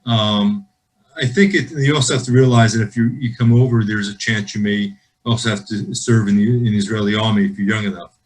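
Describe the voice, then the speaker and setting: A man's voice: lowish voice, steady tone, fairly quick, low-quality recording. A man from the football league answering panel questions over a video call, earnest and rambling with frequent restarts.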